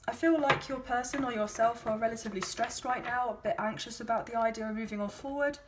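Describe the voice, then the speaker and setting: A woman with a slightly croaky, hoarse voice, giving a casual tarot reading to her viewers in a chatty, gently encouraging way with frequent ums. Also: fairly high pitch, very noisy. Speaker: tarot card reader.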